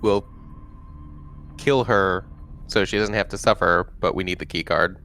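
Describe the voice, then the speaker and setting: A man's voice: low voice, a little slow, pushed and bright, soft background music. A man talking casually as a player in a tabletop roleplaying game.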